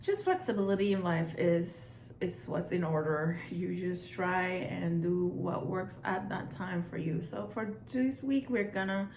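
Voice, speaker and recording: Brief: young Puerto Rican woman, Spanish accent, unhurried home vlog chat, faint room hiss. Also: lowish voice, echoey room. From Puerto Rico. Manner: relaxed, chatty, rambling with fillers. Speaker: vlogger. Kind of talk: casual weekend vlog chat.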